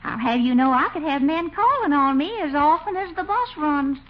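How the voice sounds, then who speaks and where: A sugary belle. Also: female voice, high-pitched, expressive, normal speed. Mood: coquettish. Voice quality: sugary, coaxing. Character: coquettish Southern belle, sweetly wheedling. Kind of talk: 1940s radio acting. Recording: dull old radio broadcast, faint hiss.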